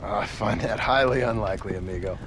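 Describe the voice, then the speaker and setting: An actor playing a gruff middle-aged American cowboy gunslinger in a video game, speaking with dry sarcasm. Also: male voice, fairly low pitch, brisk, a bit bright, a little hiss or hum. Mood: dry, wary. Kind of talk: video game cutscene acting.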